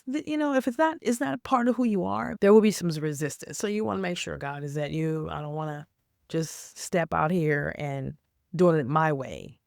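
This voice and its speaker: A woman with a raspy, hoarse voice from a cold, talking casually about faith and pushing through hard times. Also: sits low, no room sound, studio quality. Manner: rambling, stops and restarts, then earnest exhortation. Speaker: faith vlogger. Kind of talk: casual faith talk to camera.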